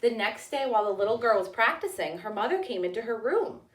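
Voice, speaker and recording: A woman narrating a children's storybook as a teacher reading aloud, with deliberate, expressive delivery. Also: on the high side, distant mic. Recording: echoey room, away from the mic.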